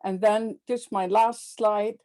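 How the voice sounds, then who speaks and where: A woman presenting book chapters at an academic webinar over a video call, halting with fillers and repeated words. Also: mid-range voice, steady tone, mellow.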